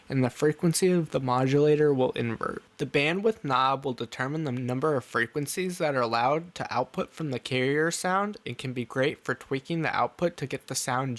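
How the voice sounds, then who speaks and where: A man reading scripted tutorial narration in an explanatory, instructional way. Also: mid-range voice.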